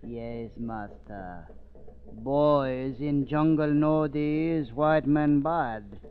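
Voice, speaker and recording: A devoted servant in a vintage radio drama, speaking broken English extremely slowly and reverently. The old recording is thin and narrow, with faint noise. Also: male voice, medium pitch. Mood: solemn, devoted. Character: Tenga, a loyal, solemn male servant.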